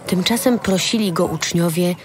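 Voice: mid-range voice, normal speed. Audio Bible narrator over faint music.

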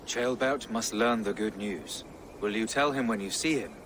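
An actor playing a pious bishop who speaks in earnest, formal church phrasing. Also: male voice, on the low side, normal speed, natural voice. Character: pious middle-aged male English bishop. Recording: game audio with outdoor ambient noise.

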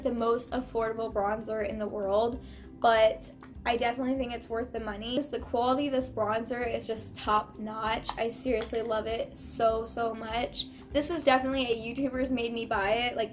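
A young woman with a croaky voice from a cold, chatting about her favorite products in her bedroom. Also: high voice. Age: young adult. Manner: chatty and gushing, with many fillers and repeats. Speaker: beauty vlogger. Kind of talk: casual product review chat. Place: bedroom, indoors, small.

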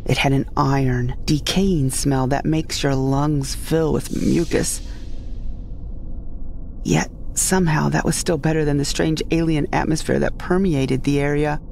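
A woman's voice: low-pitched, fairly even intonation, unhurried, creaky, a little mellow, a little hiss or hum. A woman narrating a scripted first-person audio drama as its storyteller.

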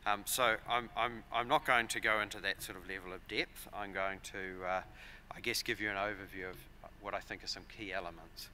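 A man in his forties with a New Zealand accent, giving a measured, explanatory conference talk at a lectern with frequent fillers and restarts. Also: fairly low pitch, fairly even intonation, sharp tone, slight background noise. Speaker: conference speaker. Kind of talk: conference presentation. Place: large conference hall, at a lectern. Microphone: lectern microphones.

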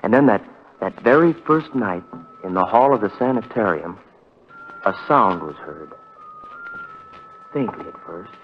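A man's voice: lowish voice, animated, unhurried, pauses often. An actor playing a bitter, self-pitying, paranoid young man in a 1940s radio melodrama, sarcastic and repeating himself. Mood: tense, dramatic. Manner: sarcastic, muttering repeats, dramatic. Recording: dull vintage 1940s radio recording.

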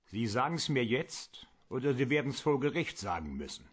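An actor playing a suspicious, accusatory police lieutenant in a German radio play, rough-voiced and demanding. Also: male voice, medium pitch, sing-song, moderate pace. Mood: accusing, threatening. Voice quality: husky, rough. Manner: accusatory, demanding, clipped questioning. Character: hostile, suspicious male police lieutenant, interrogating hard.

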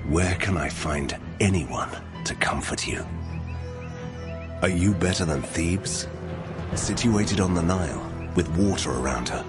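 Very deep, slow, wrathful God. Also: male voice, music in the background. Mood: stern. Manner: grave, deliberate, menacing. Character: God, male, solemn, wrathful, commanding divine judge. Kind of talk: dramatized scripture reading.

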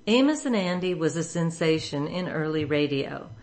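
A woman's voice: low voice, expressive, moderate pace, darkish tone. A woman reading a scripted introduction as host of a radio show.

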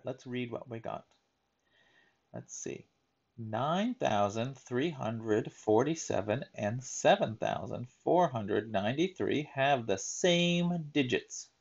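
Guy reading a kids book out loud like a teacher, real slow n careful, bit gravelly, kinda muffled recording. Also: medium pitch. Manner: deliberate, emphatic, explaining to young learners. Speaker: read-aloud teacher. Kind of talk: children's picture book read-aloud with explanatory asides.

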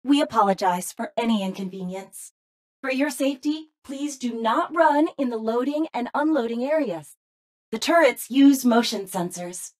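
A female corporate announcement system from a video game. It speaks in a high voice, polite and formal, utterly unfazed as it delivers scripted safety notices. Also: expressive, normal speed, darkish tone. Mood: polite, detached. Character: cheerful corporate facility announcement computer, female. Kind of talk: scripted public-address announcements.

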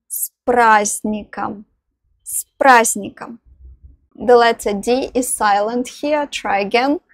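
A young woman, teaching phrases outdoors, saying each one twice, slowly and clearly, into a clip-on lapel mic. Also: fairly high pitch, dry recording. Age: young adult. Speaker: language teacher. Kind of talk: slow phrase-by-phrase lesson. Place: outdoors in front of greenery.

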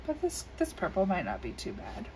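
A woman's voice: fairly low pitch, wildly swooping pitch, fairly quick, darkish tone. A woman with a scratchy voice chatting casually and unhurriedly while she colors at her desk, with many ums. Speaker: coloring video creator. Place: indoors at a desk. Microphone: overhead camera above desk.

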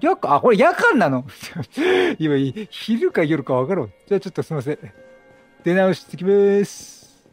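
A man giving casual, chatty game commentary, excitable, repeating phrases and reacting aloud. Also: high-pitched, moderate pace, dry recording. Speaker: game streamer. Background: game music.

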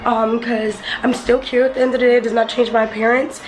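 A young woman vlogging casually about her beauty room, chatty and playful, with slang, and a little hoarse. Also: a little high, level tone, quick pace. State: hoarse, voice partly lost. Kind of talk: casual vlog chat. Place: home beauty room, indoors, and outdoors in wind. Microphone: handheld camera at arm's length. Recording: noisy handheld vlog audio.

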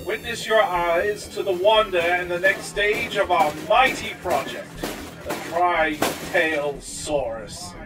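An actor playing a proud, grandiose scientist, declaiming pompously to an audience in an audio drama. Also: male voice, high voice, takes it slowly. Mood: triumphant. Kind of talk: theatrical audio drama speech. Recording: distant voice under loud music.

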